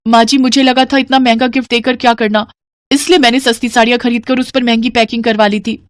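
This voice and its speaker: A woman voicing an eager, naive young daughter-in-law in an animated story, with a higher, chirpier voice. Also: fast talker, no room sound, clean studio sound, natural voice. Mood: apologetic.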